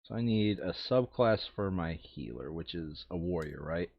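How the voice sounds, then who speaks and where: A man's voice: low voice, lively intonation, normal speed, creaky, lo-fi sound. A man chatting casually and thinking aloud while he plays a board game. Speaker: board game player on a playthrough video.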